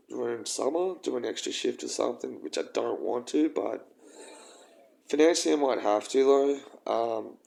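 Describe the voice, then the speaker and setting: A young man with a croaky voice, rambling and halting through a casual personal vlog. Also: medium pitch, a little mellow. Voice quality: croaky, gravelly. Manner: rambling, halting, with frequent ums and swearing. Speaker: vlogger.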